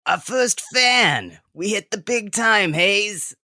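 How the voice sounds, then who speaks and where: Actress as brash, low-voiced young half-orc woman. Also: expressive, slow pace, sharp tone, exaggerated voice. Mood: excited. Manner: brash, informal. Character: blunt, casual young female half-orc assistant. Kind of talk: scripted audio drama dialogue.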